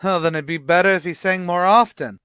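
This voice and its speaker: A man's voice: high-pitched, fairly even intonation, a little fast, pushed and bright, clear, resonant tone, dry recording, clean studio sound. A man playing a boastful, wisecracking tavern manager in an old-time radio comedy, with a pompous, blustery delivery.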